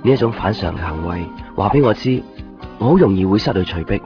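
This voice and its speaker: Earnest, insecure boyfriend, male actor, Hong Kong accent, radio drama, lowish register, muffled sound. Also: expressive, fast, lightly acted. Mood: anxious, reflective. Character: earnest, uneasy boyfriend clinging to fragile love. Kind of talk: radio drama dialogue and narration. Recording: dull 1990s radio recording, music behind. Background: clear background music.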